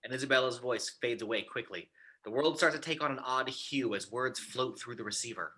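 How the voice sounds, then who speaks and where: Bright, husky man reading stage directions. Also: medium pitch, brisk, low-quality recording. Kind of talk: scripted narration at a table read.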